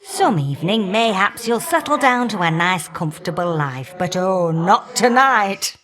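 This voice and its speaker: Fiery older goddess for a game, cheeky and folksy, teases you fondly. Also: female voice, medium pitch, expressive, measured pace, a bit bright. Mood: cheeky, affectionate. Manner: folksy, teasing, affectionate endearments and colloquial turns of phrase. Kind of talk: video game character voice acting.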